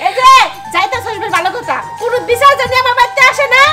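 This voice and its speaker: Extremely high-pitched, scolding Bangladeshi mother, played by a woman. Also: fast, loud music behind the voice. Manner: scolding, nagging. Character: strict, exasperated mother and scolding elder sister. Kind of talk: comedy drama acting.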